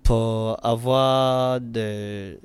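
Slow, hesitant young Nigerian man. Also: medium pitch, fairly even intonation, smooth, no room sound. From western Nigeria. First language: Yoruba. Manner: hesitant, many fillers and restarts. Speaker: interviewee, artist and freestyle rapper. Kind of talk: casual interview in learner French.

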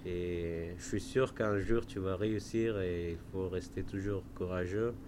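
Male interviewer, lowish voice, encouraging, faint background hum. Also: steady tone, unhurried, darkish tone. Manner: encouraging, simple questions with fillers. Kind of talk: casual interview.

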